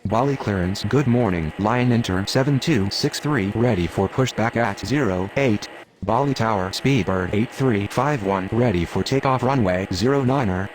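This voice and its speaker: Actor as a formal low-voiced airline pilot. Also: male voice, a little hiss or hum, lightly acted, poor audio. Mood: calm. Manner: formal, measured. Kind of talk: clipped radio phraseology.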